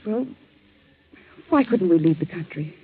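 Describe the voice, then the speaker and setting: A woman's voice: on the low side, expressive, a little slow, slightly rough, exaggerated voice. An actress playing an anxious, frightened young woman, earnest and dramatic, in a 1940s radio drama. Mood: tense, guarded. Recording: tinny, narrow-band 1940s radio recording.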